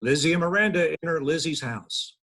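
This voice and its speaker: A man's voice: a little high, animated, brisk, noisy background. A man narrating scripted stage directions at a casual table read.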